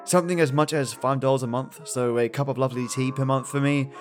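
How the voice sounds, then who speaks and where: A man's voice: medium pitch, steady tone, fast talker, soft background music. A man hosting a podcast with chatty, genteel commentary, addressing listeners affectionately. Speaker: podcast host.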